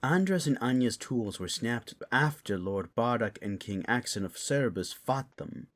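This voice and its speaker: A man's voice: medium pitch, lively intonation, measured pace, a bit bright. A man playing an earnest council member in a fantasy audio drama, speaking with a dramatic storytelling manner. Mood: grim, recounting.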